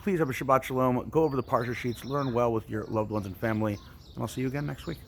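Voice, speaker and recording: Male youth storyteller and teacher, colloquial and expressive, brisk pace, gravelly fry, light noise behind. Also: medium pitch. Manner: casual, colloquial, acts out examples. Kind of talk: animated religious storytelling for children.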